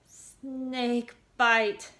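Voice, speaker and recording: A woman's voice: a little high, flat delivery, extremely slow, sharp tone, voice pushed up, full character voice. A woman voicing a frightened, moody teenage girl who sounds shaky and dramatic. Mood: panicked.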